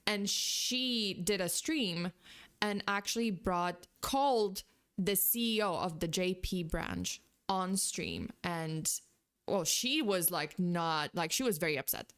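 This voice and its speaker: A woman's voice: lowish voice, slow, fairly bright tone, clean tone. Rambling woman with a Polish accent. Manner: rambling, laughs often, many fillers. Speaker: VTuber commentator. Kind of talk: casual commentary.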